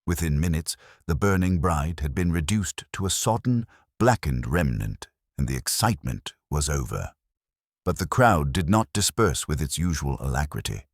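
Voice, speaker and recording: A man narrating a scripted mystery story in a measured, literary way with dry irony. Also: rumbling low, sing-song, husky, dry recording. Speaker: audiobook narrator.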